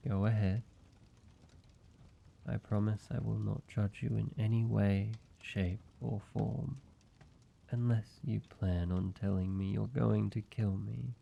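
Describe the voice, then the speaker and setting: A man voicing a weary, guarded ancient vampire who is grieving yet tender, speaking haltingly in an intimate roleplay audio drama with a gravelly voice. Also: muffled recording, full character voice. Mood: guarded, gentle. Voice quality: low, gravelly. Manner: slow, halting, hesitant delivery with pauses.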